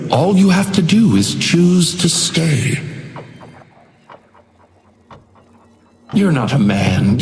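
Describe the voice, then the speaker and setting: Sinister otherworldly hotel doorman for a video game: mock-polite, menacing, widely swooping delivery with gravelly creak. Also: mid-range voice, a little mellow, full character voice. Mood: taunting. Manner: silky mock politeness, taunting, theatrical. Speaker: game villain voice lines. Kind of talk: theatrical video game character voice lines. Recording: roomy echo with long reverb.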